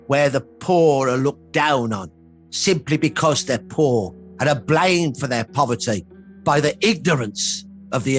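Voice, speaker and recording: A middle-aged or older man from Birmingham, England, speaking as a historian in a documentary interview, in emphatic, punchy, repeated phrases. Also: mid-range voice.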